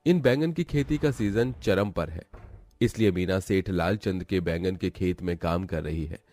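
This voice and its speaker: A man's voice: low voice, moderate pace, a little mellow. A man as narrator, reading a scripted cartoon story.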